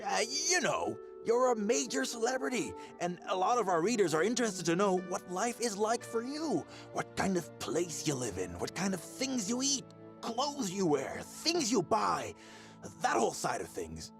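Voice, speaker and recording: A prying tabloid reporter from a video game. The delivery is theatrical and insinuating, full of hemming, and the pitch leaps around dramatically. Soft music plays behind the voice. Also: male voice, huge pitch swings, moderate pace, vocal fry, heavily acted. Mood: flattering, prying. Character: pushy, prying tabloid reporter interviewing an opera star.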